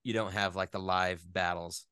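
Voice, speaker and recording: A man with a clean, fairly low voice talks as a guest in a casual interview. He rambles in an animated, enthusiastic way. The sound is dry, with very little room around it. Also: moderate pace, clear, resonant tone, no echo.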